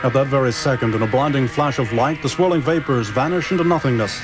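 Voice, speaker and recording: A man's voice: medium pitch, fast talker. Male radio serial narrator with music. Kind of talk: dramatic 1960s radio narration. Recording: old radio drama, music behind.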